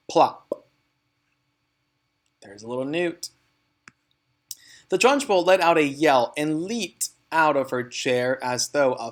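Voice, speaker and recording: A man reading a children's storybook aloud as narrator, in an expressive, deliberate and dramatic way. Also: mid-range voice.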